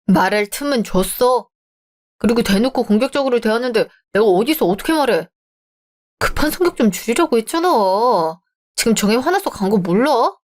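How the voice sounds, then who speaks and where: A woman's voice: fairly high pitch, lively intonation, moderate pace, close to an everyday voice. A woman voicing a young man caught between his mother and his fiancée in a radio drama, placating and wheedling. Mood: frustrated.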